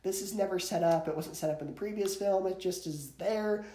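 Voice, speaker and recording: A man's voice: high-pitched, a little fast, dark tone. A man, giving a casual, opinionated scripted movie review as a countdown. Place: small indoor bedroom with posters. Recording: roomy, echoey home recording.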